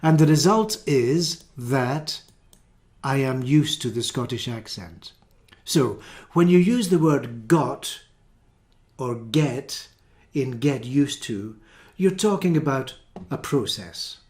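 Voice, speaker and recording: A man's voice: medium pitch, expressive, takes it slowly, darkish tone. A man teaching an English grammar lesson, carefully explaining and repeating each example sentence.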